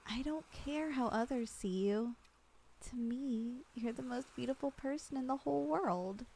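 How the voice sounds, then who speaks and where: A young woman speaks in a gentle ASMR roleplay, slightly high-pitched and soft. She sounds tender and teasing, like a girlfriend talking close to you. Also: unhurried, gravelly, slight background noise.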